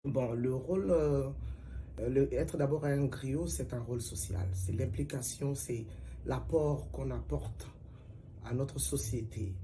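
A middle-aged woman from Mali, a singer and griot, giving measured, reflective interview answers with pauses. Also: extremely low pitch, warm tone, a little hiss or hum. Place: plain indoor room, seated at a table.

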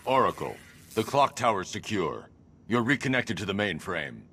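An actor playing a stern, controlled masked vigilante in a video game, terse, serious and commanding. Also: male voice, fairly low pitch, very animated, normal speed, a bit bright, slight background noise. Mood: terse, calm.

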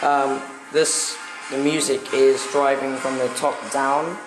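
Croaky, flat-voiced young man vlogging. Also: mid-range voice, slow. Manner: rambling, hesitant, many fillers. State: slightly croaky voice. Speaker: stop-motion hobbyist vlogger. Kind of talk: casual craft vlog narration. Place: indoor room at a work table. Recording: echoey room with music playing behind.

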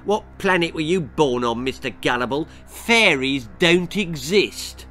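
A sneering, nasty older sister, voiced by a man reading aloud. She talks slowly and mockingly, her pitch rising and falling with scorn. Soft music sits in the background. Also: animated. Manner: snide and mocking.

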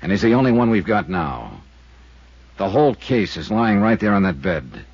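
A man's voice: lowish voice, very animated, moderate pace, darkish tone, husky, dry recording, exaggerated voice. An actor playing a terse, world-weary male insurance investigator who also narrates, in 1950s radio drama style. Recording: muffled old radio broadcast with music.

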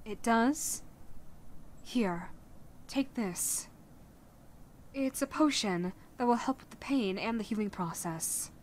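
A woman plays a motherly vampire woman in an ASMR-like audio roleplay. Her voice is on the high side and she takes her time, coaxing and teasing the listener with soothing care. Faint ambient noise sits behind her. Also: lively intonation, slow, pauses often, darkish tone. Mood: gentle, coaxing.

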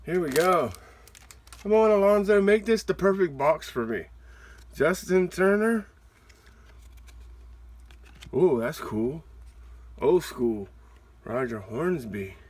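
A man hosting a card-opening video with excited, playful casual commentary, given to exclamations. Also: a little high, slow pace, pauses often, slightly rough. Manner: exuberant, exclaiming and whooping, playful. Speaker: host of a trading card opening channel. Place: indoors, at a table with cards.

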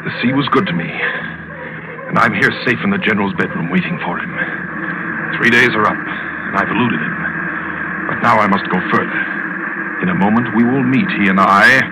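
A man's voice: lowish voice, moderate pace, pauses often, heavy background noise, lightly acted. An actor playing a determined, hunted big-game hunter, narrating his ordeal intensely in 1940s radio suspense style. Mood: grim resolve. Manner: intense, dramatic first-person storytelling. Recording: vintage radio drama recording.

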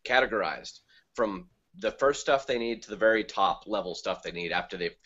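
A man's voice: on the low side, brisk. Joking man on a muffled call. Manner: joking, self-deprecating, lively. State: groggy, just woke up. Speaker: event speaker. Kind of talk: casual video-call chat. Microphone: video call.

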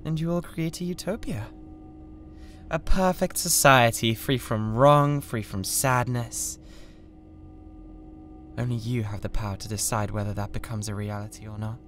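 A British man playing a cold, condescending mad scientist with a god complex in an ASMR roleplay, speaking in a deliberate, theatrical way. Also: mid-range voice, a bit bright, a little hiss or hum, lightly acted. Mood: grandiose, controlled. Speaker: ASMR voice actor. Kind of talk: ASMR roleplay, scripted drama.

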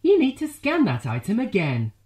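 A woman's voice: a little high, huge pitch swings, moderate pace, warm tone, reverberant. A woman voicing a flirty, teasing self-checkout machine with suggestive moans.

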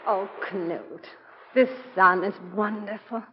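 A woman plays a devoted wife in a 1940 radio play. Her voice is tender and medium-pitched, softly spoken, on a dull vintage recording. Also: slow, noisy background, close to an everyday voice. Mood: contented. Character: gentle, devoted, worrying middle-aged wife. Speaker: radio actress. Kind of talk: 1940s radio drama acting.

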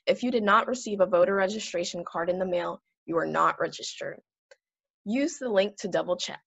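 Young woman, husky voice, reads school notices, dull recording. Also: fairly low pitch, fairly quick, fairly bright tone. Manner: reading a script. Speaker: student announcer. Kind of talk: school morning announcements.

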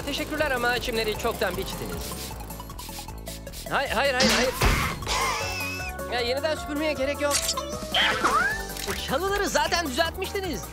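A woman voicing an excitable, fussy young cat in a cartoon dub, exclaiming and repeating words. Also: sits high. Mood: exasperated. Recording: loud music behind the voice. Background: loud cartoon music.

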